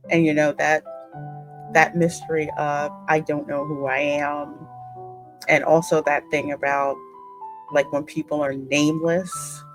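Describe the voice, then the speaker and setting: A woman's voice: deep voice, little pitch movement, measured pace, music in the background, poor audio. A woman in casual, informal chat as a gaming co-host, with little self-mocking asides. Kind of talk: casual chat reacting to a game.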